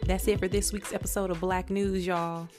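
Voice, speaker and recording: A woman's voice: lowish voice, little pitch movement, normal speed, music in the background. An American woman hosting a podcast, giving casual, opinionated commentary in an emphatic, colloquial way, speaking straight to her listeners. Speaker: podcast host.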